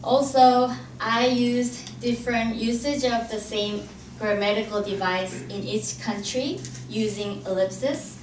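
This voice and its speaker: A young woman from South Korea, a native Korean speaker with a Korean accent, giving a careful, halting academic presentation as a graduate student. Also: fairly high pitch, steady tone. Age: young adult. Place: indoor room at an academic forum. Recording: echoey, noisy room, distant mic.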